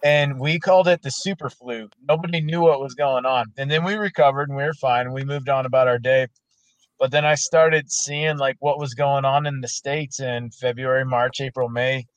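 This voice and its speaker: A man talking on a video call, halting and restarting with repeated words. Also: medium pitch, fairly even intonation, no room sound, low-quality recording. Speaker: veteran guest. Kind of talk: casual video-call chat.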